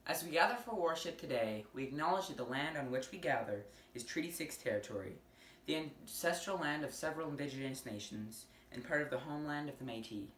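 A speaker reading a formal land acknowledgement in measured phrases. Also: medium pitch, lively intonation, a bit bright, slight background noise. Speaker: liturgy reader. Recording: echoey room from a distance.

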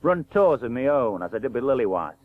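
Radio play: bitter, blunt working-class veteran cricketer, sardonic and colloquial, tinny old broadcast sound. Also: male voice, medium pitch, sing-song, brisk. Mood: resigned, reproachful. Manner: plain-spoken, sardonic, colloquial. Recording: tinny narrow-band 1980s radio broadcast.

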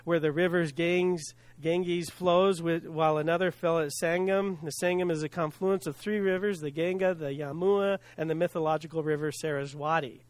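An earnest middle-aged pastor delivers a sermon at a lectern, his voice a touch higher than most men's. His phrases fall away softly at the ends. The sound is close and dry, with little room around it. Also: steady tone, dry recording. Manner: earnest, preaching from a lectern with pauses and soft drops; self-deprecating laugh at hard words. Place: church sanctuary with stage and screen. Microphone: stand mic at lectern, filmed from the back.

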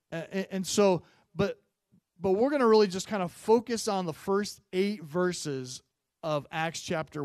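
A man in his forties preaches from a church stage into a handheld mic, his slightly high voice sounding close and dry. He talks in a loose, conversational way with plenty of fillers, swelling louder on key points and sinking soft and low in prayer. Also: no room sound. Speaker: pastor. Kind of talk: sermon. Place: church stage, medium-sized room.

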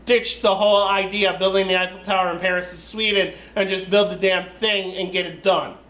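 Bright, high-voiced American man, echoey room. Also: level tone, brisk. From United States. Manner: rambling, chatty, wanders off topic. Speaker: let's play host. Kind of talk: casual game commentary.

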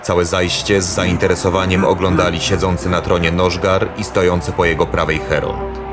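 A man's voice: low-pitched, little pitch movement, normal speed, music in the background. A man narrating a scripted fantasy audio drama as the storyteller.

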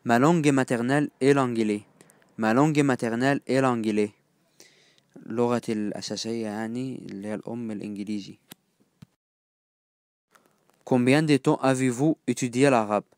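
A man with an Egyptian accent teaching phrases, repeating each one slowly and then glossing it in quick, casual asides. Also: mid-range voice, darkish tone, dry recording, clean studio sound. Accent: Egyptian Arabic. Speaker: language teacher. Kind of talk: phrase-by-phrase language lesson.

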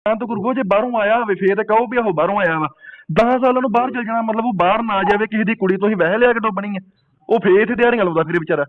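A man's voice: sits high, rapid-fire. A man, preaching insistently and debating religion on a phone call with repeated rhetorical questions. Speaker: Sikh preacher taking a supporter's phone call. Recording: dull lo-fi phone call recording.

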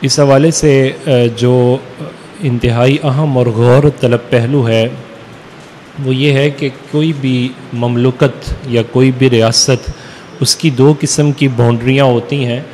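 Pakistani guy giving a formal religious speech, medium pitch, big echoey hall, bit of noise. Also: steady tone, slow, a little mellow. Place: stage at a religious convention. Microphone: podium microphones through a PA. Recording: reverberant PA hall with background noise.

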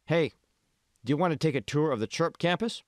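A man's voice: fairly high pitch, animated, brisk, no echo, voice pushed up, natural voice. Man acting out male tech billionaire, eager, self-satisfied, showy, audiobook character, dry sound. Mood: inviting.

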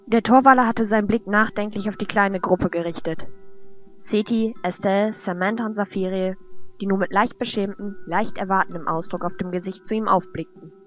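A woman narrates a fantasy radio play from a script. Her voice sits at a medium pitch. The recording is a bit dull, with music underneath. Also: steady tone, fairly quick.

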